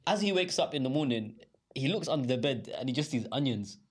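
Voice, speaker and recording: A man's voice: medium pitch, lively intonation, fairly quick, slightly rough. A young man from London with a London accent, telling a rambling, slangy story in a casual podcast chat. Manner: rambling, slangy, laughs often. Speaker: podcast co-host.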